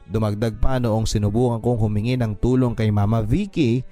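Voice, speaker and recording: A Filipino man with a soft, mellow voice narrates a heartfelt story for radio. His delivery is measured and sentimental, set over a music bed. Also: on the low side. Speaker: radio host reading a listener's letter. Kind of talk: dramatic scripted narration of a first-person love story. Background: background music.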